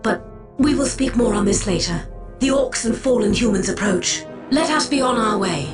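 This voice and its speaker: A woman voicing an ancient, aloof alien seer in a game cutscene, measured, solemn and portentous. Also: a little high, lively intonation, over music, natural voice. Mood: grave warning. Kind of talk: video game cutscene acting.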